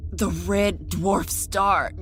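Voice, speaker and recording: An actress playing an injured, bitter enemy soldier in an audio drama, defiant but rattled, halting and sardonic. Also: female voice, on the low side, steady tone, a bit bright, soft background music. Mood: shaken, incredulous.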